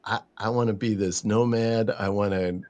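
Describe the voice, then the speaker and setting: A man hosting a live stream, upbeat and halting, with many fillers. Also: fairly low pitch, steady tone, darkish tone, no room sound. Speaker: host. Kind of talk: casual live-stream chat.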